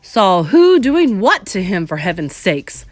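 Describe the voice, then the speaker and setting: A pompous middle-aged man bellows in indignation, put on by a woman narrator. His voice sits raised and loud throughout this storybook scene. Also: sits high, sing-song, moderate pace, no room sound, soft background music, pitched above the performer's own voice. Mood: blustering, outraged.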